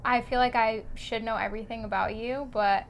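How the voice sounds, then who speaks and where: A woman's voice: on the high side, steady tone, fairly quick, slight background noise. A woman from Brazil hosting a podcast, chatting casually with a friend and exclaiming often. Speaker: podcast host.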